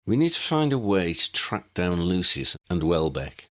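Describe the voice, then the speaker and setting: A man's voice: low-pitched, very animated, moderate pace, fairly bright tone. A man voicing a decisive, earnest male wizard hero with a direct, urgent manner in a storybook.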